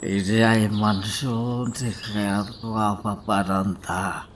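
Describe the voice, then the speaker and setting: A man acting as a measured but insistent, lovesick boss in an Ilocano radio drama. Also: on the low side, level tone, a little hiss or hum. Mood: yearning. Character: insistent lovesick boss pining to see a woman.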